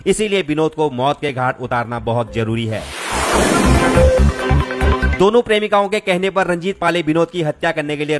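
A man reading a scripted true-crime story, addressing listeners directly as a storyteller. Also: on the high side. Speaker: narrator of a crime story channel. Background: music behind the voice.

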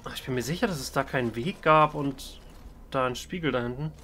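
A man's voice: mid-range voice, fairly quick, sharp tone, slight background noise. A man giving in-character gameplay commentary as he roleplays the hero of a fantasy game.

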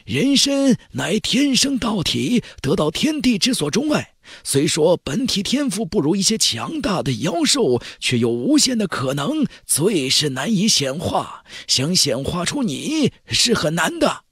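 A man voicing an old Taoist sage in an audiobook, a knowing, slightly scornful mentor who speaks in drawn-out, deliberate phrases. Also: sits high, big pitch swings, a bit bright, husky, no echo, studio quality, voice pushed up, full character voice. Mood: musing, dismissive. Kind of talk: audiobook character voice.

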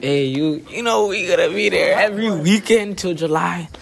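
A man's voice: high voice, moderate pace, creaky, crowd noise. A teenage boy in a classroom answering interview questions in a laid-back, cocky way. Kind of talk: casual chat. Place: school classroom.

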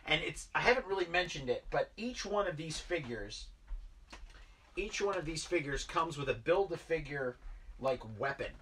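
A man's voice: fairly high pitch, lively intonation, normal speed, a bit bright, far from the mic, a little hiss or hum. A man in his thirties chatting casually while unboxing toys in a basement, rambling with lots of fillers. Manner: rambling, filler-heavy, enthusiastic about toys. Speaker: toy collector vlogger. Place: basement toy room, indoors.

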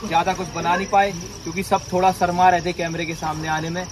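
A man's voice: high-pitched, flat delivery, fast talker, crowd noise. A young man hosting a casual vlog at a night pool party, joking with friends and laughing often. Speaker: vlogger.

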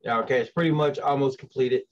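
A man hosting an interview on a video call, asking casually and haltingly, in slightly lo-fi audio. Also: mid-range voice, fairly even intonation, slight background noise. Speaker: interview host.

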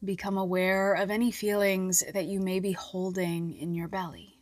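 A woman reading a scripted guided relaxation in a soft, soothing, measured voice with long pauses and breathy phrase endings. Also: medium pitch, level tone, no room sound. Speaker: guided meditation narrator. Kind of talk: scripted hypnobirthing relaxation guidance. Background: music.

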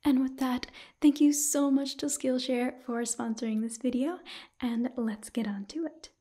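A woman in her twenties presenting softly in an ASMR style, with a breathy, often whispered voice. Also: high voice, fairly even intonation, measured pace. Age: 20s. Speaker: ASMR video creator reading a sponsor message. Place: small indoor room.

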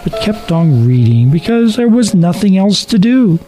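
A man's voice: sits high, sing-song, moderate pace, over music. A man reading a scripted story as a dramatic narrator.

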